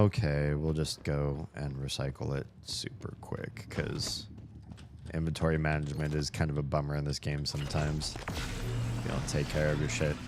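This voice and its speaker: A young man giving casual, profane running commentary while playing a video game, his voice slightly hoarse. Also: extremely low pitch, a little hiss or hum. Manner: chatty, swears a lot, talks to himself while playing.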